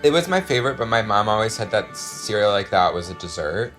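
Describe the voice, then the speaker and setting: A man's voice: lowish voice, big pitch swings, fairly quick, a bit bright, soft background music. Guy podcast co-host, casual and gossipy, candid storytelling, says like a lot. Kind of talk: casual chat.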